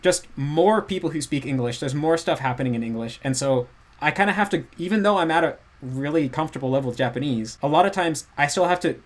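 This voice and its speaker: A man's voice: on the high side, expressive, fast. A man, a guest in a casual chat, earnestly explaining at length. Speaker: guest language learner.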